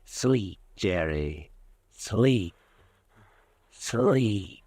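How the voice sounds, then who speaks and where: A man's voice: fairly low pitch, expressive, extremely slow, darkish tone. A man narrating in second person, soothing and eerie, in an old radio drama.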